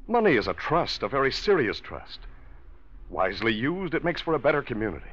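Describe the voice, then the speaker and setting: A man's voice: medium pitch, sing-song, brisk, fairly bright tone. An actor playing a stern older businessman uncle who lectures formally and righteously, in 1940s radio drama style. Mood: stern, firm. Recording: dull old radio recording.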